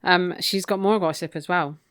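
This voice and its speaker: A woman's voice: lowish voice, steady tone, normal speed, fairly bright tone, clear, resonant tone, no echo, clean studio sound. A woman co-hosting a podcast, chatting casually through a recap with wry, opinionated asides. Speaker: podcast co-host. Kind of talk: casual book recap chat.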